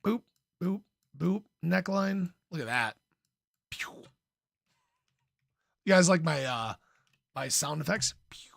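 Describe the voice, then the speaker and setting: A man hosting a casual livestream in an informal, playful way, with a slightly hoarse voice and frequent throat clearing. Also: a little high, a bit bright, no echo, clean studio sound. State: slightly hoarse, losing his voice. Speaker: livestream host, crypto commentator. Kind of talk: casual livestream chat, reading and answering viewer comments.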